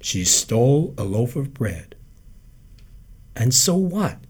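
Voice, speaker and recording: Man reading as a terse indignant shopkeeper, husky, near the reader's own voice. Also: medium pitch, big pitch swings, slow pace, full character voice.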